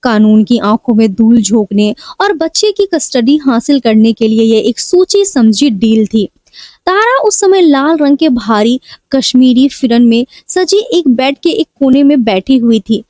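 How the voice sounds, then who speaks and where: A woman tells a scripted dramatic story in a high voice. The tone is mellow and rounded rather than sharp. The sound is dry and close, with no room around it. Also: lively intonation, measured pace, no echo.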